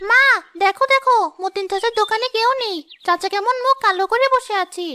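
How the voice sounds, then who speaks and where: A woman's voice: very high-pitched, fairly quick, sharp tone. A woman voicing a kind, earnest young village girl in a cartoon. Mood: excited. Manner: earnest and pleading. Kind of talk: cartoon voice acting.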